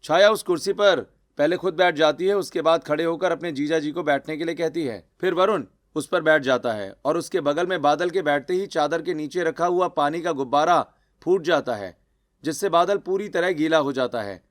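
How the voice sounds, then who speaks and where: A man's voice: a little high, normal speed. A man narrating a scripted cartoon story.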